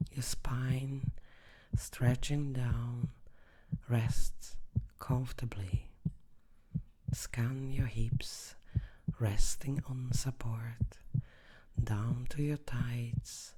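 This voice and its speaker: A meditation guide speaking softly and soothingly in short, measured phrases, often dropping close to a whisper. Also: medium pitch, slightly rough.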